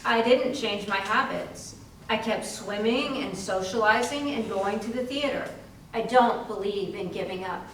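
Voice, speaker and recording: A woman's voice: mid-range voice, measured pace, close to an everyday voice. A woman playing a plucky, defiant young woman in a dramatic readers theater monologue. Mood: resolute. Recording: echoey hall, far mic, faint noise.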